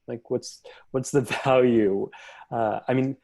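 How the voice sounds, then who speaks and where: Mellow-voiced man moderating a panel. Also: medium pitch, steady tone, slow, gravelly. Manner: thoughtful, searching, many restarts. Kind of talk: reflective panel discussion. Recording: online video call audio.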